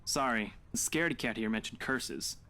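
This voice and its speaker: A man's voice: medium pitch, lively intonation, normal speed, sharp tone. Glib, teasing young man. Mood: persuasive. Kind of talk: visual novel voice acting.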